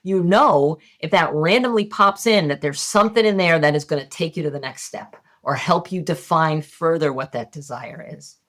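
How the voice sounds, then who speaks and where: Brief: middle-aged woman, low voice, candid podcast guest explaining ideas. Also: moderate pace, few pauses. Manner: casual and candid, occasional swearing. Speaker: guest, hypnotist and medium. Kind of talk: podcast interview, conversational teaching.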